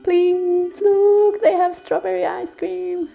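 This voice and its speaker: A high, eager little boy pleads and grumbles in a storybook, as a woman reading aloud puts him on. The voice is pitched well up and whines with impatience. Faint guitar plays underneath. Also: slow pace, creaky, dark tone, exaggerated voice. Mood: pleading. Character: excited young boy pleading for ice cream.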